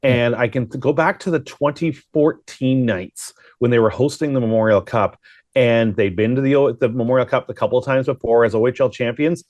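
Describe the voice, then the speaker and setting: A man's voice: mid-range voice, brisk, a little mellow, no room sound, lo-fi sound. A man giving conversational hockey analysis as a guest broadcaster, often posing questions to himself. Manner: thinks aloud with rhetorical questions.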